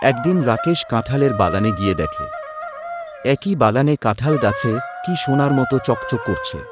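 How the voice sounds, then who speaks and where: A man tells and acts out a cartoon story with very animated intonation. The sound is dull, with clear music behind him. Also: medium pitch, moderate pace. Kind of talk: dramatized cartoon story narration and dialogue.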